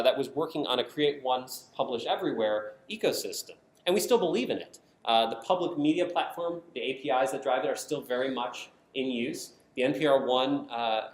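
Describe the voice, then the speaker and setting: An American man giving a conference presentation as a product designer, explaining things clearly and stressing key phrases. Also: mid-range voice. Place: indoor conference room, speaking to an audience. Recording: roomy, echoing conference room sound.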